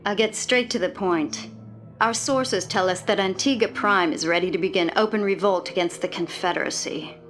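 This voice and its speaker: A woman's voice: mid-range voice, normal speed, a bit bright, faint music behind, natural voice. An actress playing a cool, direct lieutenant briefing her commander in a video game. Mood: businesslike. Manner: direct, crisp, businesslike. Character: cool, no-nonsense female lieutenant, young adult. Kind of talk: video game cutscene dialogue.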